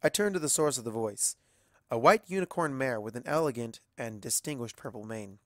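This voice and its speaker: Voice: medium pitch, expressive, brisk, a bit bright, no room sound, studio quality. A narrator reading a first-person story expressively, as in an amateur audiobook. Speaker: fan fiction reader.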